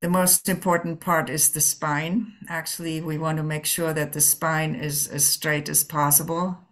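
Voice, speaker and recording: A woman leading a guided meditation as a Zen teacher, speaking gently and permissively in halting phrases with long pauses. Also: sits low, little pitch movement.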